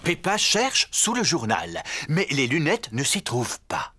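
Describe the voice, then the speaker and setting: A man's voice: fairly high pitch, wildly swooping pitch, unhurried, pushed and bright, husky, soft background music. A man narrating a children's cartoon from a script, telling the story simply for young listeners.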